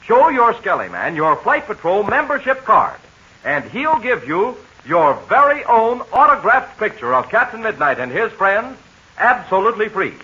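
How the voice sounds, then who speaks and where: A man with a bright, sprightly voice announces an old radio adventure serial, swinging his pitch up and down as he pitches a sponsor's giveaway to children. The sound is dull and lo-fi, like an old broadcast transcription. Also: big pitch swings, moderate pace. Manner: eager, chummy sales patter aimed at children.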